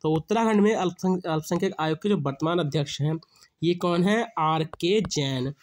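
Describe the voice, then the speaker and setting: A man's voice: fairly high pitch, moderate pace, darkish tone, a little hiss or hum. A young man with a sore throat explains quiz answers over slides, asking a question and then repeating the answer. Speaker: exam prep teacher. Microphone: screen-recording voice-over.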